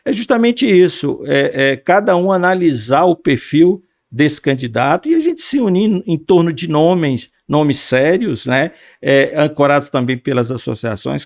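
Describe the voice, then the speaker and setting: A man's voice: medium pitch, gravelly, no echo, studio quality. A man hosting an interview podcast, earnest and formal, with many fillers. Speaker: podcast host.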